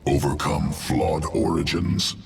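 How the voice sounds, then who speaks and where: A man's voice: extremely low pitch, steady tone, slow pace, exaggerated voice. A man voicing a cold, guttural alien insectoid geneticist in a video game, speaking in clipped, detached, clinical fragments. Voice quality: guttural, gravelly. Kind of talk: video game character acting. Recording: roomy echo, distant, noisy game ambience.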